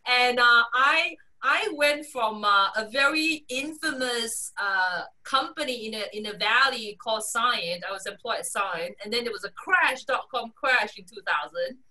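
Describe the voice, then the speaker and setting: A woman in her forties, raised in Singapore, telling her career story as a guest on a video call, animated and quick to laugh. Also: distant mic, low-quality recording. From Malaysia, raised in Singapore. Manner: animated storyteller, laughs often, lively pitch swings. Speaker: guest, strategy consultant. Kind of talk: casual video-call interview.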